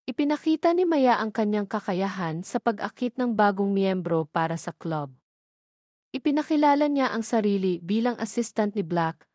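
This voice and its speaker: A woman with a Filipino accent reading scripted story narration in a formal, measured manner. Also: mid-range voice, darkish tone, no room sound, studio quality.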